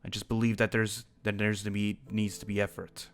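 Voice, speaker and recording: A man's voice: lowish voice, monotone, brisk, soft background music, natural voice. A man playing an earnest, principled dragon slayer with a criminal past, in an improvised fantasy game roleplay. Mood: thoughtful, earnest. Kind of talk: improvised Minecraft roleplay acting.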